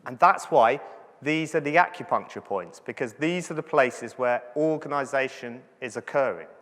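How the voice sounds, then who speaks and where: A man's voice: mid-range voice, normal speed, a bit bright. A man, a doctor, giving a chatty, joking conference lecture to physiotherapists and checking in with the audience. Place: large conference hall. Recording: hall reverb from the venue.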